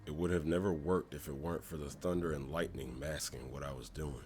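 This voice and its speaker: A man voicing a weary, guilt-burdened man in an amateur comic dub, speaking in a halting, somber way over music. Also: very deep voice, steady tone, darkish tone. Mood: weary, regretful. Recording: music behind the voice.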